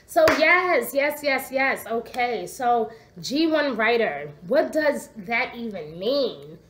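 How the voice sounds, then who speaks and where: A congested, hoarse woman in her forties, telling her story in a chatty way to a laptop webcam at home. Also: mid-range voice. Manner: chatty, animated, gestures a lot, asks "okay?" often. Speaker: vlogger. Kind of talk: casual personal storytelling to camera. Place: living room at home.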